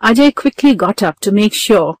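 A woman's voice: medium pitch, animated, normal speed, darkish tone, a little hiss or hum. A woman narrating a scripted children's story.

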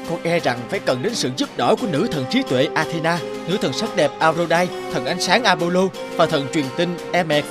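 A man's voice: on the high side, animated, fairly quick, few pauses, over music, natural voice. A man voicing a dutiful blacksmith god in a fairy-tale cartoon, formal and deferential toward his master. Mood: respectful. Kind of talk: animated fairy-tale dubbing.

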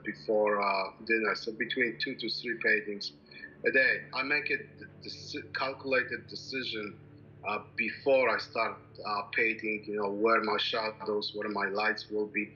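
Male, native Polish speaker with Polish accent, very sharp tone, searching for words, faint background noise. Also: lowish voice, highs cut off. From Poland. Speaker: landscape painter, interviewee. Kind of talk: reflective personal account of working methods.

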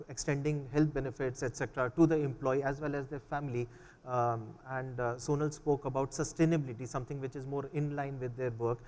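A man's voice: mid-range voice, darkish tone. A man with an Indian English accent moderating a panel, hesitant with many fillers, on a large conference stage. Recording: long hall reverb.

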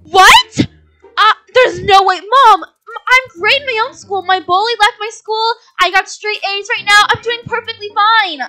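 A woman's voice: very high voice, normal speed, pushed and bright, no echo, low-quality recording. A woman voicing an exasperated young schoolgirl, whiny and incredulous, in an amateur gaming roleplay. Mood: indignant. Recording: background music under the voice.

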